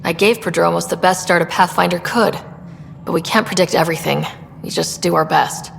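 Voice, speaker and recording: An actress playing a direct, steady female Pathfinder in a video game, speaking plainly. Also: lowish voice, brisk, creaky, big-room sound, slight background noise.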